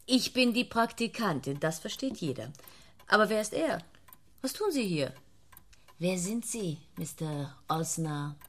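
An actress in a radio drama playing a curious, direct young embassy trainee. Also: female voice, on the low side, big pitch swings, unhurried, exaggerated voice. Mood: curious, pointed.

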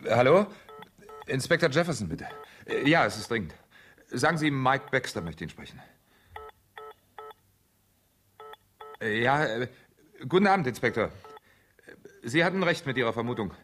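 An actor playing a soothing, slightly hesitant husband in a German radio crime thriller, speaking in a measured way. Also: male voice, mid-range voice, very animated, a bit bright, soft background music. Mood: urgent, businesslike. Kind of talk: German radio drama acting.

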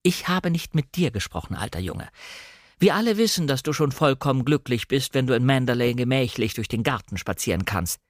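A man's voice: mid-range voice, expressive, brisk, dry recording, clean studio sound, natural voice. A man voicing a bossy, outspoken upper-class country woman in a dramatic novel reading. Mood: snappish. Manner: outspoken, chiding.

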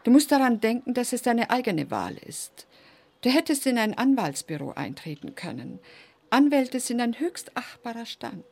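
An actress playing an affected, defensive middle-aged mother with exaggerated dignity and a husky, creaky voice in a radio play. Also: a little high, big pitch swings, normal speed, a little mellow, full character voice. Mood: stiff, reproachful. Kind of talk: German radio play acting.

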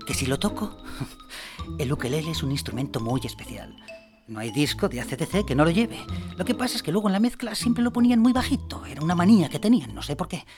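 An actor playing a vain, grumpy, bluffing music producer in a comedic radio drama, with a boastful and hesitant way of talking. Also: male voice, fairly high pitch, lively intonation, fast talker, lightly acted. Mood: pompous. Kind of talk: comedic radio fiction dialogue.

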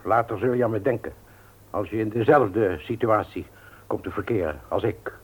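A man's voice: on the low side, husky. A man acting in a radio play as a stubborn, formal widowed uncle in his seventies who runs the family business. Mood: grudging, tactless. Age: older. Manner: formal, measured, unyielding. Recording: tinny, narrow-band old radio recording.

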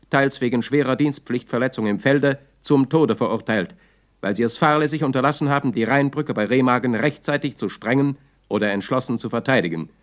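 A man announcing in a clipped, official and impersonal way, in the style of 1960s documentary radio drama. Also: medium pitch. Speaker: announcer of times, dates and bulletins.

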